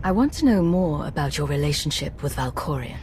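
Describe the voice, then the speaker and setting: An actress playing a cool, probing secret agent commander in a video game, direct and dry. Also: female voice, low voice, animated, brisk, darkish tone, slight background noise. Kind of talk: dramatic video game acting.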